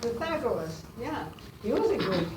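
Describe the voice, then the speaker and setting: An older Austrian woman with German as her first language talks to students, pausing often with fillers and restarting her sentences. She sounds set back from the mic, in an echoey room with steady noise around her. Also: on the high side. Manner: halting, many fillers and restarts. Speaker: guest speaker to students. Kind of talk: classroom talk. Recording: roomy, distant, noisy room.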